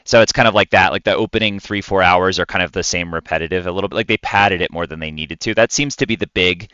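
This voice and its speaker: A man's voice: low voice, quick pace, sharp tone, clear, resonant tone, no room sound, highs cut off. A man co-hosting a podcast in casual gaming chat, often repeating and rephrasing himself mid-thought. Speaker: podcast co-host.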